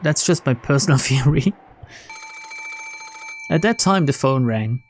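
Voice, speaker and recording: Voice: mid-range voice, lively intonation, measured pace, a little hiss or hum. A let's player reading visual novel narration aloud, breaking off for informal, sweary asides. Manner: sweary, informal reactions between read lines.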